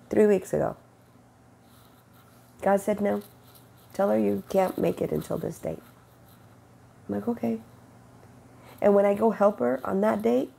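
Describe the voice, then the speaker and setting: A middle-aged woman with a cold, sniffling and rough-voiced, giving an earnest, unhurried spiritual testimony into an earbud mic in her bedroom. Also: medium pitch, dark tone. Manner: earnest, unhurried, quotes God in conversation. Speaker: faith vlogger. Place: bedroom with bright window, indoors. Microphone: wired earbud mic, webcam close-up.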